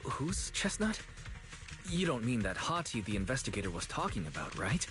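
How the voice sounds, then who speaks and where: A man's voice: mid-range voice, wildly swooping pitch, moderate pace. A man voicing a level-headed, reassuring young adventurer in an anime video game, speaking in an earnest, conversational way. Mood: surprised. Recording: game audio under clear music.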